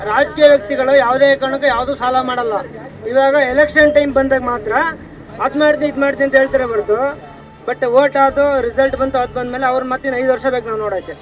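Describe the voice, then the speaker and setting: Vox-pop man, strikingly high pitch, outdoors with faint music behind. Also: steady tone, fast, lo-fi sound. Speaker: member of public interviewed. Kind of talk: street interview opinion.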